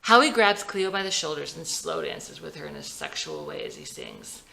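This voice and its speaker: A woman's voice: deep voice, huge pitch swings, moderate pace, vocal fry, bright, forceful tone. A woman reading scene directions from a screenplay as scripted narration in a dramatic table read. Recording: roomy echo.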